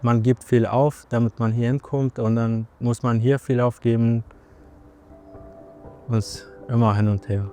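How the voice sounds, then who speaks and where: A man gives a documentary interview in a calm, measured way. His pitch is average. Clearly audible music runs under his words.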